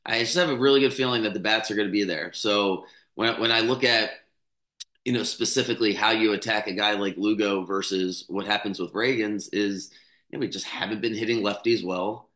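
A man in his thirties, a little hoarse, talks through a game preview in a loose, rambling way, full of restarts and fillers. His voice sits a bit low but sounds bright and forceful. He speaks into a stand mic in a small room that gives a clear echo. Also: fairly even intonation, brisk. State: slightly hoarse from yelling. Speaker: sports betting podcast host. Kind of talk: casual sports commentary. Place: small room with a bookshelf, indoors. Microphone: studio mic on a stand close in front.

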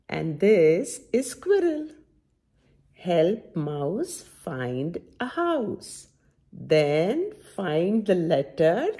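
Animated woman reading to children, swooping pitch. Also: slightly rough. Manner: animated, sing-song, coaxing teacher-like delivery. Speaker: children's story-time host. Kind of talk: reading a picture book aloud to children with commentary and questions. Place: small indoor room at home.

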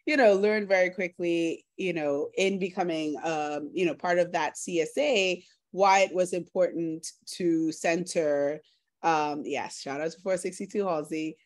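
Woman, 30s, from Trinidad. Low voice, community organizer speaking informally in an online workshop. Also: a little slow. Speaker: food justice organizer. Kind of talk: personal talk in an online workshop. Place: living room at home, indoors. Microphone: laptop video call.

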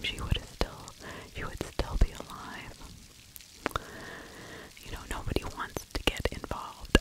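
A middle-aged woman whispering true crime ASMR close to the microphone, breathy, with opinionated conversational asides. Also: poor audio. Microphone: close microphone with furry windscreen.

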